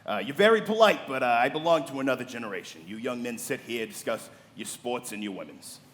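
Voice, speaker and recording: An actor playing a courteous older gambler in a live radio play, halting and self-effacing, in an echoey hall. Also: male voice, mid-range voice, lively intonation, a bit bright, a little hiss or hum, natural voice. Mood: courteous, dismissive. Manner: halting, politely self-effacing, addresses others as the young. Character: courteous older male gambler, an underworld character of Broadway. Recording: echoey hall with long reverb.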